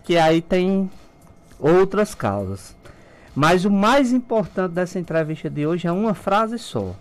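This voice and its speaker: A middle-aged man with a Brazilian accent, an ENT doctor explaining things step by step as a guest in a radio studio, speaking through a face mask. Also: high-pitched. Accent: Brazilian Portuguese. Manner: didactic, calm explaining. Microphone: studio mic, wearing a face mask.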